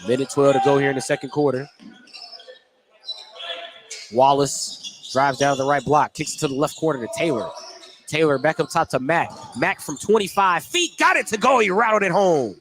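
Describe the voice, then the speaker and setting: A man with a slightly high voice calls a live basketball game as the play-by-play announcer. He speaks in clipped running descriptions. Clear gym noise, clicks and ball bounces sit behind him. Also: normal speed, long pauses. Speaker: play-by-play radio commentator and lead host. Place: indoor school gymnasium during a game. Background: loud game noise, bouncing basketball.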